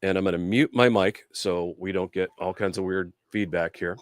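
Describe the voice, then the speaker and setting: A man's voice: low voice, steady tone, moderate pace, no echo. A man hosting a casual live product demo and interview, explaining things in an informal, conversational way. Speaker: host and product demonstrator.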